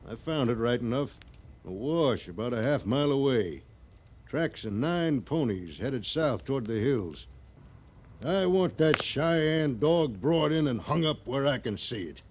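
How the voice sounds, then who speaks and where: An actor playing a bitter, vengeful frontier army scout with rough rustic talk, in the style of an old radio western. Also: male voice, medium pitch, expressive, unhurried, close to an everyday voice. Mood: angry. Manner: rough rustic grammar, insistent. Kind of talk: 1950s radio western acting. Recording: noisy old radio drama recording.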